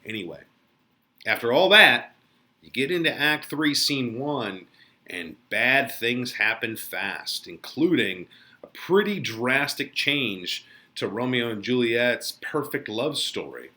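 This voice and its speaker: Male teacher for casual literature lesson commentary. Forceful, bright tone, unhurried delivery, theatrical and colloquial. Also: mid-range voice, big pitch swings. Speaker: English teacher.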